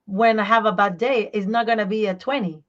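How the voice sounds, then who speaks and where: A woman voice teacher and singer, talking in a casual interview, animated and explaining with examples, sometimes searching for her words in English. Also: medium pitch, fairly quick, dull audio. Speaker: voice teacher and singer, podcast guest.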